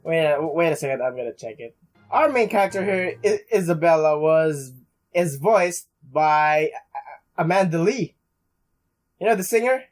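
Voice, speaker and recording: A young man plays a game and comments casually, reading text aloud. His voice is a little high, and he stumbles and rambles through sentences. Faint music runs behind him. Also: expressive. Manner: halting, stumbling, rambling, mild swearing. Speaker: let's play gamer.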